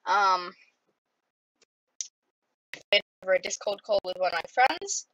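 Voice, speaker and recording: A woman with an Australian accent, chatting casually as she plays a computer game, with lots of ums and sudden excited outbursts. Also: mid-range voice, unhurried, no echo, poor audio. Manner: rambling, many ums, bursts of playful excitement. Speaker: gaming vlogger.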